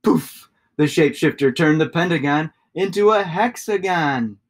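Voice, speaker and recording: A man, giving an expressive read-aloud of a children's story. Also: fairly high pitch, moderate pace. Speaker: storybook reader.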